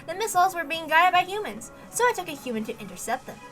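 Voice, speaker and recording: A woman reading a story aloud as a narrator, with an animated, emphatic dramatic delivery. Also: extremely high pitch, quick pace, soft background music. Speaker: reader narrating a visual novel.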